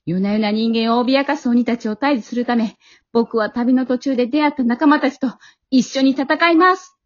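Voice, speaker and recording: A woman's voice: a little high, moderate pace, natural voice. A woman playing an earnest, heroic boy warrior in an amateur radio drama, with declamatory delivery and muffled home-recorded sound. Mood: heroic, resolute.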